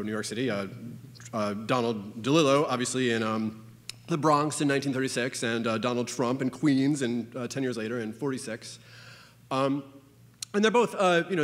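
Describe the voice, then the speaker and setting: A man giving an informal conference talk, halting and full of "uh" and "you know", with wry asides. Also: medium pitch. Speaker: conference speaker. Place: conference hall. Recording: long reverb of a lecture hall.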